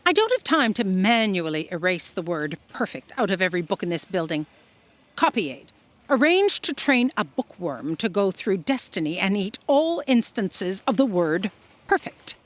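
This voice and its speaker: An officious female boss, played by a woman, decisive and easily exasperated. She has a mid-pitched, very animated delivery suited to a comic fantasy audio drama. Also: moderate pace, close to an everyday voice. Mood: exasperated resolve.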